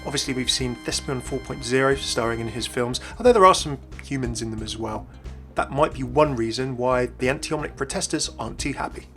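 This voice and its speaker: A man's voice: medium pitch, a little fast, pushed and bright. A man reading scripted narration as the presenter of a gaming video. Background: background music.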